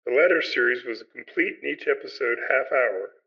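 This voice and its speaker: A man's voice: medium pitch, expressive, brisk, husky. A man hosting a podcast, reading scripted narration aloud. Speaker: podcast host. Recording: slightly dull, muffled sound.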